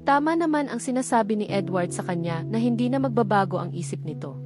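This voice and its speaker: A Filipino-accented woman narrates a romance novel in a scripted storytelling style. Her pitch sits in the middle range for a woman. Music plays clearly behind her voice. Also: animated, fast talker. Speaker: audiobook narrator. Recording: background music under voice.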